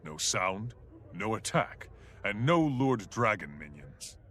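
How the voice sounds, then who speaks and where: A man's voice: on the low side, big pitch swings, slow, fairly bright tone, heavily acted. An actor playing a self-assured male scholar of magic in a fantasy video game, speaking precisely and haughtily. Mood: haughty, confident.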